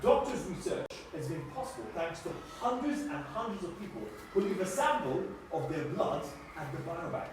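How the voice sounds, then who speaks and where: Man playing an excitable high-voiced scientist, echoey room. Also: normal speed, hardly any pauses. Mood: earnest, flustered. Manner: stammering, repetitive, emphatic, checking with "okay?". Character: excitable, kindly, flustered scientist doctor explaining things. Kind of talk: live children's educational puppet show. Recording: echoey room, distant mic, faint noise.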